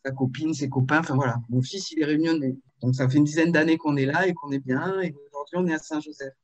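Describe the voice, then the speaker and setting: A woman in her fifties from Marseille, telling her life story in a video-call interview, with frequent pauses and fillers. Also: sits low, steady tone, darkish tone, muffled recording. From Marseille, France. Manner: hesitant, with many fillers and restarts. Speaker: author and interview guest.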